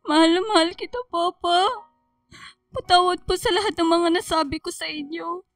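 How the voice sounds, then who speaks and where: A woman acts out a loving, remorseful young daughter with a Filipino accent. Her voice is high and pleading, recorded very dry with music underneath. It suits a sentimental radio drama. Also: normal speed, fairly bright tone, no echo. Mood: tender, remorseful.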